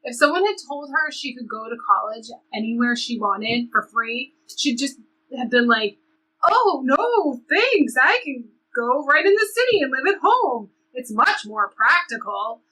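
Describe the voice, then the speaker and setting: A woman's voice: high voice, big pitch swings, unhurried, pushed and bright, exaggerated voice, rough recording. A woman playing a frustrated, sarcastic seventeen-year-old girl in an audio play, speaking emphatically and conversationally. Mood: indignant. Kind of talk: audio play reading, conversational teen dialogue.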